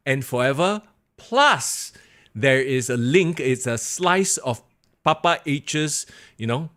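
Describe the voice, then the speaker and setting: A young man with a Singaporean English accent hosting a wrestling podcast alone, reading viewer comments in an excitable way, his voice going hoarse. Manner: excitable, animated, drops to low mutters. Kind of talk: solo live-stream chat reading viewer comments.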